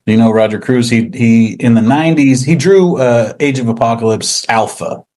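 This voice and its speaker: A man's voice: mid-range voice, moderate pace, darkish tone, dry recording. A man speaking casually as an interview guest, rambling and self-deprecating. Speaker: interview guest, comic book writer.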